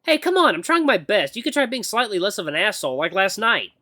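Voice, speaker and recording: A man plays a young, sensitive apprentice runner in an audio story. The voice is high and very animated, stammering and expressive, quick to tip into sweary exasperation. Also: no echo, clean studio sound, exaggerated voice. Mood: exasperated.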